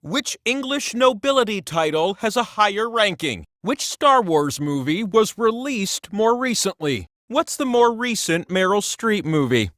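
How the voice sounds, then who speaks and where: An actor playing an excitable, quirky talking wheel that hosts a video game quiz, with a playful, mock-dramatic, showy delivery. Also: male voice, high-pitched, unhurried, hardly any pauses, dry recording, clean studio sound, exaggerated voice. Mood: showy, upbeat. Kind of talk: theatrical game show question reading.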